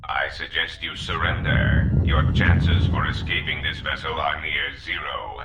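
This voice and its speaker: An actor voicing a cold, menacing droid crime lord in a video game, formal and calculating, with a gravelly, robotic voice. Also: male voice, pushed and bright, exaggerated voice. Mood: cold menace. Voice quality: very deep, gravelly. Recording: distant echoing voice under music.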